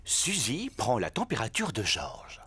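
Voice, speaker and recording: Narratrice à la voix très grave. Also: female voice, rumbling low, huge pitch swings, measured pace, fairly bright tone, a little hiss or hum. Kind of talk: scripted children's cartoon narration.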